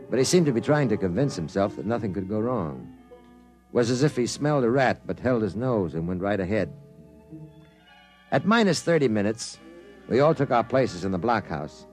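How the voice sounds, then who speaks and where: A man's voice: on the low side, darkish tone. A man playing an earnest, suspicious project member who also narrates in first person, in 1950s radio drama style.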